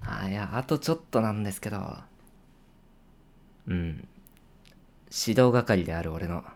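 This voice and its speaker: A playful senior coworker, voiced by a young man, needles his junior in a casual, teasing way. His voice sits very low, and he takes his time over each line. It is a voice drama performance with quiet, hushed asides. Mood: apologetic. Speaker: voice actor. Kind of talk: situation voice drama.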